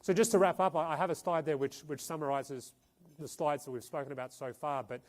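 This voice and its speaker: A man giving a conference presentation as a fund manager, talking in a halting way with frequent restarts and repeated words. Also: fairly high pitch, steady tone. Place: conference stage.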